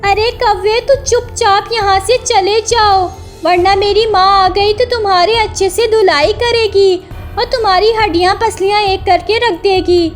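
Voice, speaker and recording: Woman voicing a very high-pitched little bird chick. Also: fairly even intonation, measured pace, soft background music. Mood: indignant. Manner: pleading, scolding, childlike. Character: small young female bird chick, anxious but bold.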